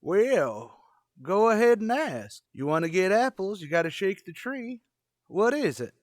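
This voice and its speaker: An old custodian in a radio comedy, high-pitched and swooping through his lines. He is stubbornly smitten and talks in homespun, folksy phrases, recorded close and dry. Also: sing-song, measured pace, no room sound. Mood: folksy, eager. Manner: folksy drawling rustic turns of phrase.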